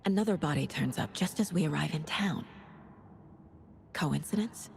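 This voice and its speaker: A woman's voice: low-pitched, moderate pace, vocal fry, a little mellow, a little hiss or hum. A woman playing a composed, dry-witted female FBI agent in a video game, speaking in clipped, analytical phrases. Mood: focused, analytical. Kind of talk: video game dialogue and investigative monologue.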